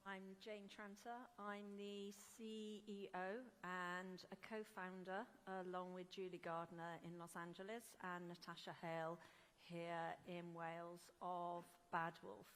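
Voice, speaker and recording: A woman executive introducing herself on a panel in a quick, matter-of-fact way. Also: lowish voice, level tone, fairly bright tone, slight background noise. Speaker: company executive. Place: conference hall. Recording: hall reverb with faint ambience.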